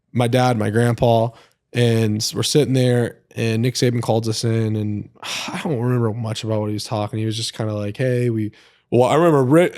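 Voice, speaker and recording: A young man in a podcast chat, telling a long story in a rambling, animated way with lots of filler words. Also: mid-range voice, no room sound, clean studio sound. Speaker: podcast guest. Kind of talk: casual storytelling.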